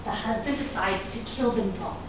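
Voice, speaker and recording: A woman's voice: mid-range voice, normal speed, close to an everyday voice. An actress playing a guarded, ambitious woman fending off an old flame in a staged reading of a drama, with dramatic, emphatic delivery. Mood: urgent, dramatic. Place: echoey indoor room at a staged reading. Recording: echoey room, distant mic, noisy.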